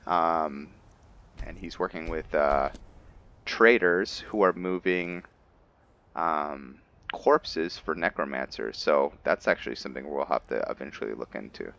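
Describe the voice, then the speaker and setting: Male gamer, low register, slow delivery, casual play-by-play with muttered, halting asides. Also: creaky, a bit bright, dull audio. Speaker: gamer hosting a roleplay playthrough. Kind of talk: casual game commentary, reading in-game text aloud.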